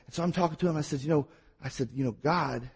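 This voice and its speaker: Man, Mississippi accent, pastor's sermon, husky, fairly high voice. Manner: fast-talking at times, animated storytelling.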